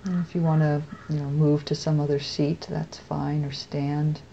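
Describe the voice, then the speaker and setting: An American woman leading a guided meditation as a teacher, speaking softly and unhurriedly with long pauses. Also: sits low, little pitch movement, vocal fry, muffled recording, a little hiss or hum.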